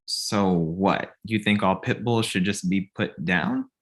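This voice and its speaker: A man's voice: low-pitched, takes it slowly. A man playing an easygoing, teasing, dog-loving roommate in his twenties at a comedy table read. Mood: challenging.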